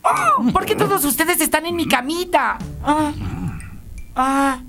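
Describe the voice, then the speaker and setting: A woman's voice: high voice, sing-song, slow pace, a bit bright, soft background music, exaggerated voice. A woman voicing a whiny, dismayed young male soccer player in a cartoon dub. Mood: whining dismay.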